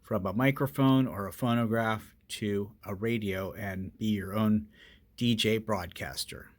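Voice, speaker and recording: Man with a lowish voice, demonstrating gadgets. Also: measured pace. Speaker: host demonstrating vintage radio electronics. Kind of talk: casual hands-on demonstration narration.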